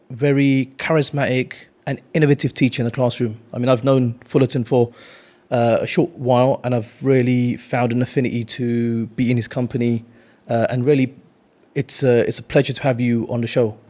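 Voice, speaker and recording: A man's voice: mid-range voice, darkish tone. Man hosting a podcast interview. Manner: friendly, formal introductions, probing follow-up questions. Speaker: podcast host.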